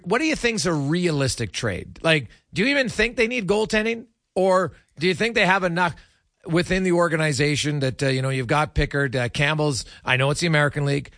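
A man hosting sports radio, talking casually about hockey and thinking aloud in a halting, rambling way. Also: a little high, a bit bright, no room sound, studio quality.

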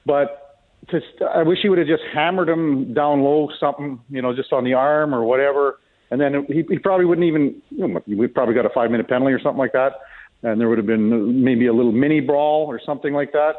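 A man's voice comes through a narrow, phone-like connection, sitting at an ordinary middle pitch. He talks sports casually as a guest commentator, offering folksy opinions in a conversational way. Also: fast talker. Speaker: hockey commentator, guest on a sports radio show. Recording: tinny narrow phone-like line.

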